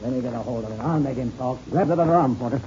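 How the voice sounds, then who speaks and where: An actor as a fast-talking tough investigator. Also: male voice, mid-range voice, dark tone, natural voice. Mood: tough, menacing. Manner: gruff, sneering, slangy. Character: tough, bullying male investigator grilling a suspect. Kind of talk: 1930s radio acting. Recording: muffled, noisy old radio serial recording.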